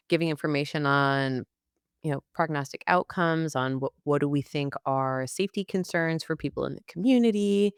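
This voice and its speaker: A woman's voice: low voice, smooth, dry recording, clean studio sound. A young adult woman co-hosting a casual podcast, playful and sarcastic, laughing often. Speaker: podcast co-host, speech-language pathologist. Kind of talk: casual chat.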